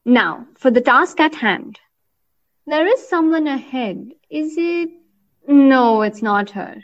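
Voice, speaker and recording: An actress playing a woman hunter who stalks her target and marvels at winged creatures, thinking aloud in deliberate short phrases in an audio drama. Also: high voice, lively intonation. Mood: watchful, intent. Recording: dull lo-fi recording.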